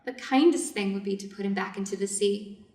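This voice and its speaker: A woman's voice: medium pitch, brisk, a little mellow, close to an everyday voice. A woman reading the part of a Japanese surgeon's wife in a staged story, proper and practical, with formal, careful phrasing. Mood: anxious. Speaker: reader's theater performer. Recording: echoey room, distant microphone.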